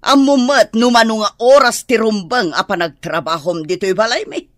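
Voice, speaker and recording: A woman's voice: fairly high pitch, normal speed, dry recording, clean studio sound, lightly acted. A woman acting a bossy, fault-finding employer in an Ilocano radio drama. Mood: stern orders.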